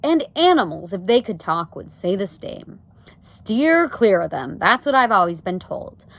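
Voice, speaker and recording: A woman's voice: medium pitch, sing-song, dry recording. A woman reading a storybook aloud, voicing a grave, cautious father who speaks firmly in a folksy, ungrammatical way. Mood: cautioning. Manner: folksy ungrammatical speech, measured. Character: grave, steady, plain-spoken father, cautious and firm.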